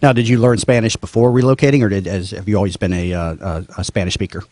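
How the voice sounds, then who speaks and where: A man's voice: on the low side, fairly even intonation, quick pace, a little mellow, no room sound. A man hosting a radio talk show, asking casual, chatty questions with lots of fillers and self-deprecating asides.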